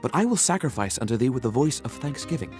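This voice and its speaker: A man acts an anguished, fervent prophet in a scripture drama. His medium-pitched voice is solemn and measured, over faint music. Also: big pitch swings, a little mellow, close to an everyday voice. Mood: anguished prayer.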